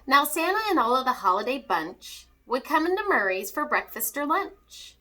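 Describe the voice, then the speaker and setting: A woman reads a rhyming children's story aloud with a high, bright voice. Her delivery is theatrical and swings widely in pitch, as a read-aloud for young listeners. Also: moderate pace, low-quality recording. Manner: animated, theatrical. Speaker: teacher reading a picture book aloud to children.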